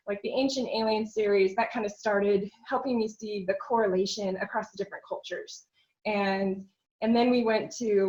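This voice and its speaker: A woman talks at an ordinary pitch as a podcast guest, telling a long story earnestly. She rambles with "um" and "you know" along the way. The recording sounds a little dull and lo-fi. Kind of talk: casual interview chat.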